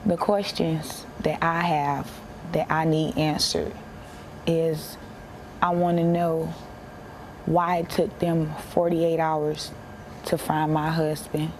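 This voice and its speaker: Low, even-voiced young American woman, halting. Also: slight background noise, low-quality recording. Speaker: interviewee, bereaved military widow. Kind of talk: emotional personal account in a broadcast interview.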